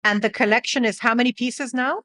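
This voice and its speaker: A woman hosting an interview podcast, putting questions conversationally in measured, halting phrases. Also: a little high, bright, forceful tone.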